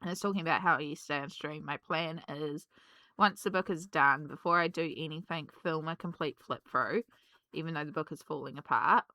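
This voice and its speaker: A woman, slightly hoarse from a cold, chatting casually and rambling with many ums while she colours. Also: low voice, brisk, pushed and bright, no echo. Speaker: colouring vlogger.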